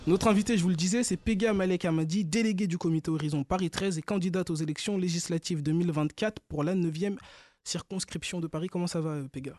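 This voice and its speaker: Higher-voiced man hosting a talk show. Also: fairly even intonation, fast, a little mellow, dry recording, soft background music. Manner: informal, playful, teasing. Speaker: host of a political talk show. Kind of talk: casual political show presenting and interviewing.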